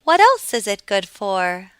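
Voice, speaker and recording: A woman's voice: mid-range voice, sing-song, a little slow, smooth, pitched above the performer's own voice. A woman voicing a prim, severe talking rose in a storybook, critical and condescending. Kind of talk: storybook character voice.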